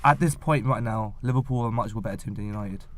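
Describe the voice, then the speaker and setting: A man's voice: lowish voice, quick pace. A man arguing his side in a casual football podcast chat, full of "like" and "then again". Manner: argumentative, lots of fillers. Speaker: podcast co-host.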